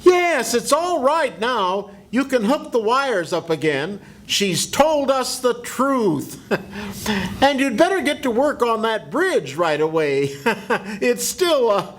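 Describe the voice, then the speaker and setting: A man's voice: very high-pitched, very animated, slow, a bit bright, exaggerated voice. An older man as a self-satisfied sleuth. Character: triumphant man who exposed a killer. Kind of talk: amateur old-time radio play reading.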